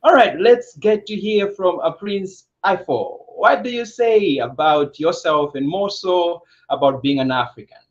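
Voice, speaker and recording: A man hosting an online panel over a video call, telling stories in an animated way and laughing easily. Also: high voice, measured pace, few pauses, dull audio. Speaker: host.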